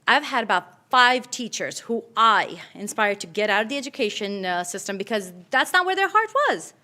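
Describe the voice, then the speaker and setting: A middle-aged woman from Kurdistan, a native Kurdish speaker, giving an informal short speech in a chatty, excited and nervous manner. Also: mid-range voice, moderate pace. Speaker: school principal introducing herself.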